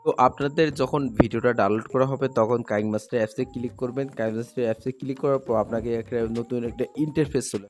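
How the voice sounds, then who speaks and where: A man's voice: mid-range voice, darkish tone. A man narrating a step-by-step screen tutorial as the host, with repetitive, instructional phrasing. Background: background music.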